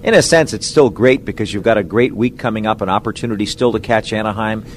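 A man hosting a radio sports show, with a smooth and upbeat announcer delivery. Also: fairly low pitch, fast talker, a little hiss or hum. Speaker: radio host. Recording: music bed under voice.

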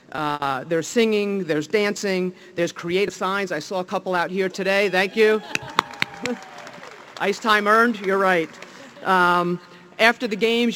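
A man's voice: high voice, fairly bright tone. A man with a New Jersey accent, a league executive giving an enthusiastic public speech at a welcome event, with many fillers and restarts.

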